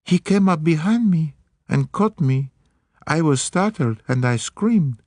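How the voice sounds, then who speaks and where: A man's voice: a little high, expressive, a little slow, a little mellow, no echo, clean studio sound, voice pushed up. A man voicing a pert young French maid with a French accent, chatty and coquettish. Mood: coy.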